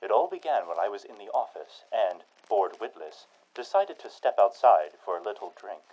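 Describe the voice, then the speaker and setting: An actor playing a 1940s radio announcer and narrator, booming through the sponsor spots, then telling his own night of terror in a hushed, tense voice. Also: sing-song, normal speed, dull audio. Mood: hushed dread. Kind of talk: 1940s radio drama acting.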